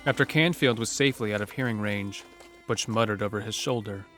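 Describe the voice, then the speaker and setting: A man's voice: fairly low pitch, brisk, a bit bright, faint music behind. A man narrating a scripted western horror story for an audio drama.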